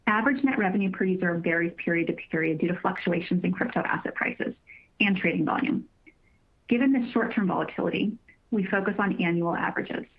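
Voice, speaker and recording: A woman reading prepared remarks as a company finance executive, in a formal, measured manner with careful wording. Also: lowish voice, gravelly, breathy edge. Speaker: company finance executive presenting on an earnings call. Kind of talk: prepared corporate presentation, scripted. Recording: narrow tinny phone-line conference call.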